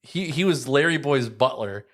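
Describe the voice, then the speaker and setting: A man's voice: medium pitch, expressive, moderate pace, a bit bright. An American man in his early thirties chatting casually as a podcast co-host, rambling and leaning on filler words. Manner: rambling, frequent "like". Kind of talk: casual chat.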